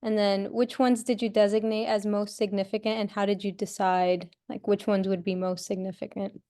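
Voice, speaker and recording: A woman's voice: mid-range voice, flat delivery, moderate pace, mellow. A woman moderating an online panel, upbeat and organized, introducing the session and asking questions over a video call.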